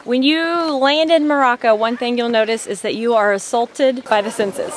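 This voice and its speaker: A woman speaking for a tour company in a measured way with fillers. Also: fairly high pitch, background noise. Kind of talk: promotional interview. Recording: crowd chatter behind.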